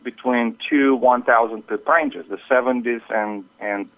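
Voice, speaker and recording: A man comes through a tinny, narrow phone connection. His voice sits at a medium pitch, and he explains like a market analyst being interviewed, working through points a little haltingly. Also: fairly even intonation. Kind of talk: market commentary. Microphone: telephone. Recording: phone line with narrow, radio-like sound.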